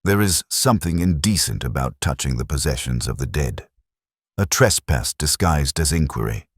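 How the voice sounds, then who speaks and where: A man with an extremely deep voice narrates a detective story in the first person. His delivery is measured and literary, with lively rises and falls in pitch. The sound is close and dry. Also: husky, dry recording.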